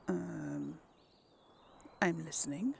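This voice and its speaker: Slow, creaky-voiced woman doing game commentary. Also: fairly low pitch, mellow, a little hiss or hum. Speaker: gaming video host. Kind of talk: casual let's play role-play commentary.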